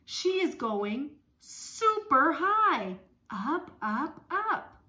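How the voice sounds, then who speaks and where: Female children's educator, thirties: bright, fairly high voice, expressive, simple repetitive phrasing for story time at home. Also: extremely slow, reverberant. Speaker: speech-language pathologist leading circle time. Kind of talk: children's songs and read-aloud lesson. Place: small room at home.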